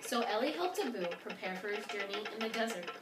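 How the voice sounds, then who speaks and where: A woman tells a storybook folktale with a very lively, swooping delivery. She is expressive and emphatic. The recording is roomy, picked up some way from the mic, with faint noise. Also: mid-range voice, fairly quick, distant mic. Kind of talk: dramatic storybook narration of a folktale.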